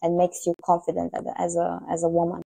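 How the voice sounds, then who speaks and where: A woman's voice: lowish voice, completely flat delivery, quick pace, vocal fry, mellow, no room sound. A woman in her thirties with a Romanian accent, a guest dermatologist talking emphatically with many fillers on a video call. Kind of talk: casual interview chat. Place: indoor room with sofa. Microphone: lapel mic on remote video call.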